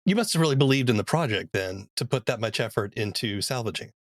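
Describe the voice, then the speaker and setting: A man's voice: medium pitch, animated, fast talker, no echo, clean studio sound. A man hosting a podcast interview, asking long, curious questions and often agreeing with short replies. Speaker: podcast host.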